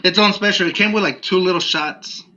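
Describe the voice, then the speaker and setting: A man's voice: sits high, brisk, pushed and bright, dull audio, slight background noise. A man co-hosting a podcast, rambling easily through a casual drinking chat. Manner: rambling, easygoing, restarts often. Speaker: podcast co-host.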